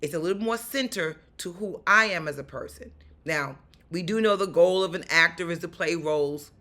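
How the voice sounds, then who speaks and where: A raspy-voiced woman with a New York accent talks straight to the camera in a casual advice vlog. Her tone is bright and upbeat, animated and down-to-earth. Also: on the low side, moderate pace. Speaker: actor and stunt performer giving career advice.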